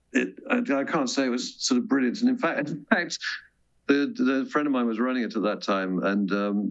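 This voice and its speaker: A man's voice: medium pitch, creaky. A man talking casually as an interview guest, a composer and choir director who often restarts and corrects himself, heard over a muffled remote link. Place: remote video link from London. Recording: dull, muffled remote-call audio.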